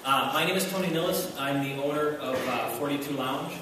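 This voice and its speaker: A man from Wisconsin moderating a convention panel, talking in a halting way with many fillers and restarts. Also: on the high side, background noise. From Wisconsin, USA. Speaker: panel moderator and bar owner. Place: large convention hall. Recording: echoey hall mic from far off.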